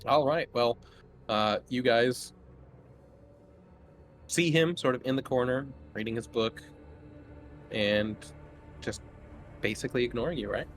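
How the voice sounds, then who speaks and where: A man's voice: mid-range voice, measured pace, pauses often, faint music behind. A man running a tabletop horror game as game master, casually improvising scene descriptions in a conversational way.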